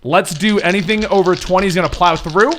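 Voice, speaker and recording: A man's voice: high-pitched, fairly quick, a bit bright, slight background noise. A man casually narrating a tabletop game as game master, improvising in an informal, off-the-cuff way.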